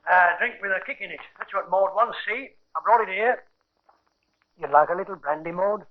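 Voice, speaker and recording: Man playing a sly, high-voiced husband. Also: normal speed, noisy background, close to an everyday voice. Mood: oily, wheedling. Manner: wheedling, coarse-spoken, blustering. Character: sly, brash working-class husband, a murderous poisoner. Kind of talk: 1950s radio crime drama acting. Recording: dull, lo-fi 1950s radio recording.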